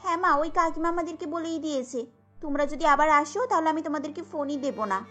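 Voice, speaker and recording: A woman's voice: sits high, fast, pushed and bright, soft background music. A woman voicing an aggrieved young village daughter-in-law in a cartoon, explaining and complaining. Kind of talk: cartoon dialogue.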